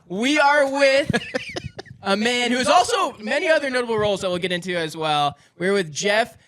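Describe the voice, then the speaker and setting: Male podcast host, higher-pitched and bright, forceful, casual upbeat chat. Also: animated, normal speed.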